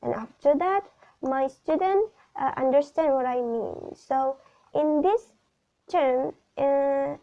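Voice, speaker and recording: Slow, hesitant Indonesian-accented young woman. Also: sits high. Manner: hesitant, many pauses and fillers. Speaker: student. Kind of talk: class video talk. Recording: dull lo-fi recording.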